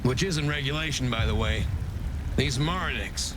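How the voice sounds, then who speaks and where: A man playing a stern, hot-tempered middle-aged colonel in an animated sci-fi drama, with a gruff, commanding delivery. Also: mid-range voice, normal speed, background noise, lightly acted. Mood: stern, wary. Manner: commanding, barking.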